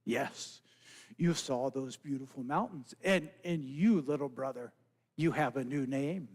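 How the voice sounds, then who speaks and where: A wise old frog, keeper of the water, voiced by a man, speaking low, slow and hushed with solemn calm. A long hall reverb trails each word. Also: husky.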